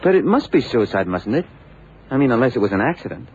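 Animated, slangy detective's sidekick. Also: male voice, medium pitch, quick pace. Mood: insistent. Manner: informal, slangy, direct. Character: brisk, plain-spoken American detective's assistant, male, loyal. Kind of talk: 1960s-70s radio drama acting. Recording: muffled old radio broadcast, faint hiss.

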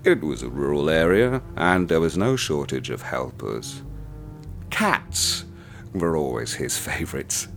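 A cold, sadistic tormentor, voiced by a man in an audio drama, speaking softly and deliberately to his captive. His voice sits very low, and it rises and falls with lively, theatrical swings. Faint music plays beneath him. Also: heavily acted. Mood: gloating recollection. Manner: soft, measured, silkily menacing. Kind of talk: radio play acting.

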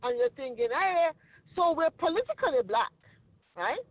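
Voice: sits high, animated, normal speed. A podcast host from the Caribbean who talks earnestly and reflectively in a casual discussion, often checking in with "you know" and "okay?". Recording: tinny phone-line sound, dry.

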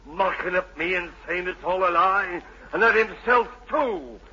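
A man's voice: high voice, unhurried, bright, forceful tone, exaggerated voice. An actor playing a mad, obsessed old sea captain and domineering father, in salty archaic seafarer's speech, in an old radio drama. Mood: bitter. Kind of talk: 1940s-50s radio drama acting. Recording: muffled old radio broadcast, faint noise.

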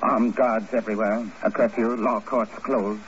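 An actor playing a grave, disapproving doctor in a 1950s radio drama. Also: male voice, on the low side, fairly even intonation, moderate pace, lightly acted. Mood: grim. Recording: muffled, slightly noisy old radio recording.

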